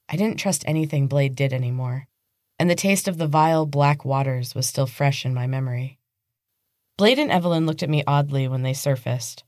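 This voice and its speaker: Low-pitched woman narrating a story. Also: darkish tone, dry recording, clean studio sound. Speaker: podcast host and author reading own novel. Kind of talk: dramatic scripted audiobook narration.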